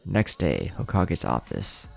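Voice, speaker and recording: A low-pitched man reads a story as a narrator, with a gravelly edge to his voice. His pitch moves around in a lively way, and music plays behind him. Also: takes it slowly. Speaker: channel host and narrator. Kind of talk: fan fiction audiobook narration.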